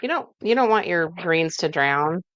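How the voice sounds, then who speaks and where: A woman's voice: fairly low pitch, animated, moderate pace, a bit bright, no room sound, dull audio. A woman hosting a cooking show in a home kitchen, explaining steps in a folksy, chatty way as she works. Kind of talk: casual cooking demonstration.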